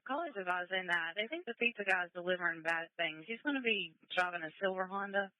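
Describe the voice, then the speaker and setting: A woman's voice: on the low side, expressive, quick pace, no room sound, narrow, tinny audio. A woman dispatching matter-of-factly over a two-way radio. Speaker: emergency dispatcher. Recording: dry police scanner radio.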